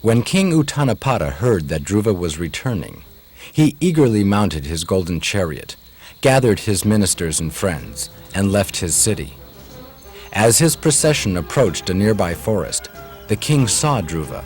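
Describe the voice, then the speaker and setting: A man's voice: fairly low pitch, big pitch swings, moderate pace, darkish tone. A man narrating a scripted devotional story in a solemn, dramatic way. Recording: music behind the voice.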